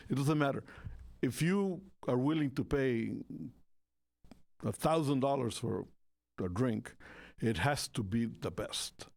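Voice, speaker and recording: A man's voice: mid-range voice, vocal fry, no echo. A man from Rica, co-hosting a casual radio talk show and searching for words with many restarts. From Costa Rica.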